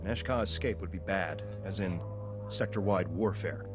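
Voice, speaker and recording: An actor playing a worried, blunt soldier on a prison planet in a video game, talking plainly and earnestly. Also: male voice, low-pitched, moderate pace, over music, close to an everyday voice. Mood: urgent, worried.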